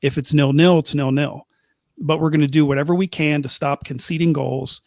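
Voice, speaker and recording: Higher-voiced man making his case on soccer. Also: steady tone, vocal fry, darkish tone, no room sound, studio quality. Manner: quick bursts, repeats words as he builds his point. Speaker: soccer podcast co-host. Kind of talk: casual analytical chat.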